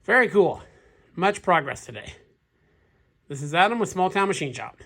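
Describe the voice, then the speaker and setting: A man's voice: high voice, sing-song, moderate pace, gravelly, a bit bright. A man with a cold, sniffling now and then, thinking aloud in casual commentary as he takes apart an old machine in his shop. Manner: thinking aloud, plain-spoken, musing. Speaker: machinist, channel host.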